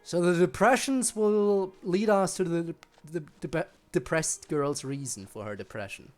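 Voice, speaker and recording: A man's voice: high voice, unhurried, faint music behind. A man giving casual live gameplay commentary, rambling and musing aloud to viewers. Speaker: game streamer.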